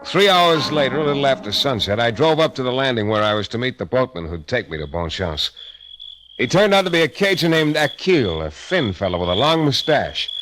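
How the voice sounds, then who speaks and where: An actor with an American accent playing a wry, hardboiled private detective in an old radio drama, dry and wisecracking. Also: male voice, medium pitch, sing-song, a little fast, a bit bright, faint music behind, low-quality recording. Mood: moody narration. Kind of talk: old-time radio drama acting with first-person narration.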